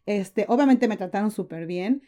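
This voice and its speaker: A woman's voice: mid-range voice, animated, normal speed, warm tone. A woman in her thirties from Mexico with a Mexican accent, chatting as a podcast guest, full of fillers and restarts. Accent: Mexican Spanish. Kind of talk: casual interview chat.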